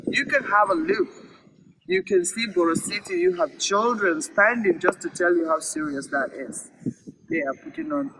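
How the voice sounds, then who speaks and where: A woman's voice: lowish voice, sing-song, moderate pace, gravelly, distant mic. A woman telling a story aloud to children in a dramatic, emphatic way, repeating phrases for effect. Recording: noisy recording with loud background noise.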